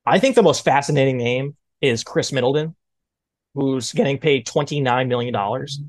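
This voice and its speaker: A man in his thirties hosting a sports podcast, chatting casually in an animated way. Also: mid-range voice, moderate pace, dry recording, clean studio sound. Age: 30s. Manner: animated, mimics exclaimed reactions. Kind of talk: casual sports debate chat.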